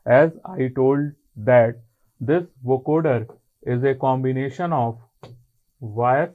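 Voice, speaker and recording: A man's voice: medium pitch, slow pace. Phone-like recording, Indian male lecturer. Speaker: college lecturer. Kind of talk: technical lecture. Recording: muffled, phone-like narrow band.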